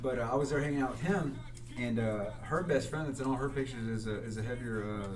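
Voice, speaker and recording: A man's voice: medium pitch, fast, reverberant, distant mic. A man telling a long, rambling story on a podcast, casual and full of swearing. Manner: rambling storytelling with frequent profanity. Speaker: podcast guest. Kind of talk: casual chat.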